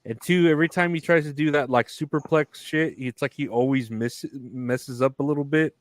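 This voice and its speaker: Male podcast co-host, mid-pitched, halting but blunt, recorded dry and close. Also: no room sound. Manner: hesitant, restarts, opinionated. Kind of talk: casual wrestling chat.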